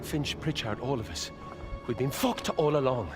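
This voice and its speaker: An actor playing a blunt, hard-bitten veteran soldier in a war video game. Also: male voice, medium pitch, expressive, normal speed, music in the background, close to an everyday voice. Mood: bitter. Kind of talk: dramatic video game acting.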